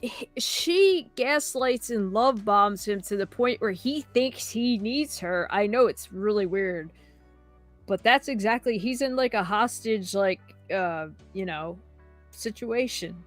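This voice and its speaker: A woman's voice: medium pitch, expressive, slow, soft background music. A woman guesting on a live reaction stream, blunt and sarcastic, swearing freely. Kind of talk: casual reaction chat.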